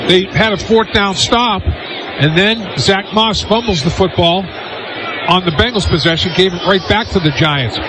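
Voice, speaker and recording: An American man giving casual, halting football analysis as a color commentator. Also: high-pitched. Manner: halting, repeats words, says "you know". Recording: noisy stadium broadcast, loud crowd.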